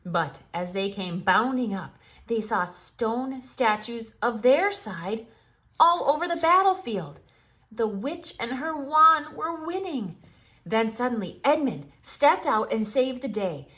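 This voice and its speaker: A woman giving a theatrical read-aloud of a children's storybook, expressive and full of dramatic pauses. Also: a little high.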